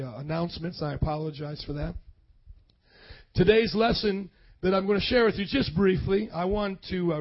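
A hoarse young man preaching a sermon, energetically calling out to the congregation for responses. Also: sits high. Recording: dull lo-fi sound.

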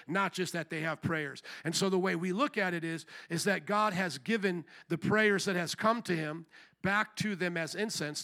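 Male pastor, high bright voice, preaching that swells into loud calls to the congregation. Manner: rises to loud shouted emphasis. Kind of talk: sermon. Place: church service.

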